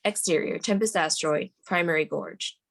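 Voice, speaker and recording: A woman's voice: on the low side, moderate pace, gravelly. A woman reading scripted stage directions aloud as the narrator of a screenplay table read.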